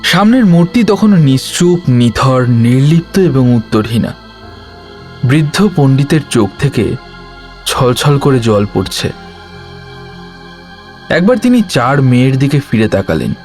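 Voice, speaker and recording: Male narrator, medium pitch, for audio horror story. Dramatic, measured reading with pauses, light music bed. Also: big pitch swings, darkish tone.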